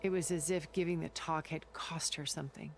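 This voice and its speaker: An actress playing a composed, secretive older woman in a video game, speaking in a measured, formal, articulate way. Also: low-pitched, steady tone, background noise. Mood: wistful, admiring. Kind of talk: dramatic video game dialogue. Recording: background music under the voice.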